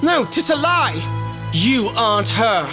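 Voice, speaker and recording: An actor voicing an earnest young prince cursed into a small rabbit-eared creature, with formal, old-fashioned speech, in a game cutscene. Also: male voice, very high voice, big pitch swings, slow pace, heavily acted. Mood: distraught. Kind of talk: video game cutscene dubbing. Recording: game audio with music behind.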